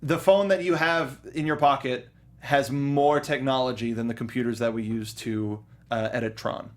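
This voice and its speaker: Young male co-host, mid pitch, loose rambling delivery, lots of "uh" and restarts. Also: steady tone, normal speed. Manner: rambling, many restarts and fillers. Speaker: podcast co-host. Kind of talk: casual movie review chat.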